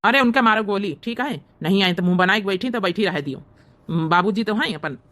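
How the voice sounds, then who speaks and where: A woman's voice: medium pitch, lively intonation, brisk, a bit bright, close to an everyday voice. A woman voicing an outspoken, protective village brother with an Awadhi accent, arguing reproachfully in a cartoon comedy. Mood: dismissive. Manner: argumentative, reproachful. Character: outspoken, protective grown son and elder brother, village man.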